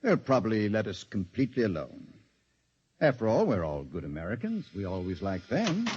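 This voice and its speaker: A man acting a weary, placating psychiatrist with measured speech in a 1950s radio drama. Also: fairly low pitch, wildly swooping pitch, a little mellow. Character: soothing, weary, patronizing male psychiatrist, middle-aged. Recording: dull, hissy 1950s radio recording.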